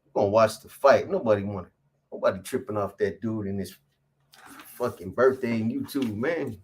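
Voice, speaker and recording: A man's voice: on the low side, moderate pace, darkish tone, a little hiss or hum. Laid-back slangy man in his fifties. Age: 50s. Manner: laid-back, profane street slang, frequent "man" and "bro". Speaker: livestream host reading viewer comments. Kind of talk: casual livestream chat.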